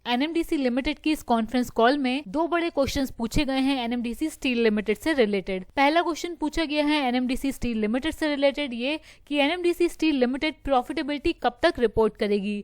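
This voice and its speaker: A woman's voice: high-pitched, brisk, hardly any pauses, no room sound, studio quality. A woman hosting a stock market news video, explaining steadily and slipping English phrases into her Hindi.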